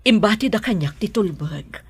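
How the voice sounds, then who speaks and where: A woman playing a gentle, worried elderly mother with a husky voice in an Ilocano radio drama. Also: medium pitch, animated, moderate pace, dry recording. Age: older. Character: gentle, worried elderly mother advising her grown children.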